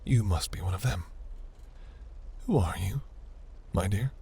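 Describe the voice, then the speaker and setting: A seductive demon prince, performed by a man, speaks in a very low, slow voice roughened by gravel. He is imperious and grandiose, yet flirts with velvety, breathy teasing in the manner of a fantasy romance roleplay. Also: expressive, mellow, exaggerated voice. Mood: curious.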